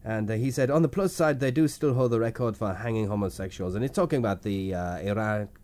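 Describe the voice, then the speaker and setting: A man doing a halting foreign stand-in producer. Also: on the low side, a little mellow, close to an everyday voice. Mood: rambling. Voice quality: thick put-on foreign accent. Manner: halting, full of fillers. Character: odd foreign male stand-in producer, vague and blunt. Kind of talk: improvised comedy podcast character.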